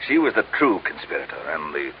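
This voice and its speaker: An actor playing a pompous, scheming crime boss in a radio drama, speaking in florid, mock-courteous phrases. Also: male voice, rumbling low, sing-song, fast talker. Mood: florid, cynical. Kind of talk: old-time radio drama acting. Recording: dull, hissy old radio recording.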